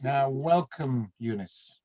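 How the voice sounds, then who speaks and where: A man's voice: medium pitch, animated, slow, a little mellow. A man hosting an online meeting over a video call, calling on each person by name in an easygoing way. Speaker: meeting host.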